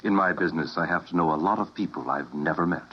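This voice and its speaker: A man's voice: very deep voice, fast. A man playing a clipped, businesslike security agent in a 1950s radio drama, on a lo-fi recording. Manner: clipped, matter-of-fact, reads dossier flatly. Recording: dull lo-fi old radio recording.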